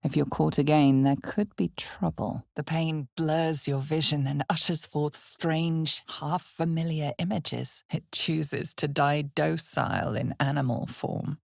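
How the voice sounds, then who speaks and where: Gravelly, ominous fantasy game narrator. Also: female voice, low voice, fairly even intonation, no echo, clean studio sound, heavily acted. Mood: hushed, grave. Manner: measured, deliberate, weighty pauses, sometimes dropping to near whisper. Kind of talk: dramatic scripted fantasy game narration in second person.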